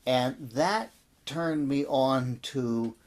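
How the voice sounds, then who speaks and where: An American man in his sixties reminiscing in an interview as a guest, telling his story in a reflective, halting way. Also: medium pitch. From American Midwest and Texas. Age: 60s. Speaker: interview guest, audio drama producer and actor.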